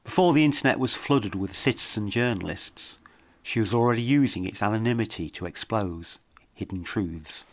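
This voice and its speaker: A man reading scripted mystery narration in a measured, dramatic storytelling manner. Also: fairly low pitch. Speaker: documentary podcast narrator.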